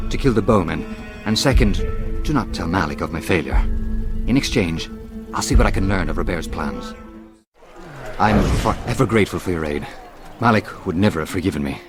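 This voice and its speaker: Self-doubting assassin, low voice, humble and pleading, music behind. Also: male voice, sing-song, moderate pace. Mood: grateful, cautious. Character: anxious, self-doubting assassin begging a favour. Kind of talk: dramatic video game voice acting. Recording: background music under the voice.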